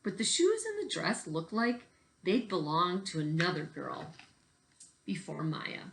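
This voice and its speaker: A woman's voice: lowish voice, huge pitch swings, a little slow, creaky, fairly bright tone, slight background noise, rough recording. A woman reading a storybook aloud in the manner of a teacher addressing children.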